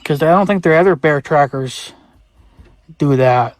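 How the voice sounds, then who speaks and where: A man's voice: fairly high pitch, a little slow, dry recording. A man chatting off the cuff in a casual, plain-spoken way, swearing offhand as he reviews gear. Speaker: vlogger reviewing radio gear. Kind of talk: casual unscripted product review chat.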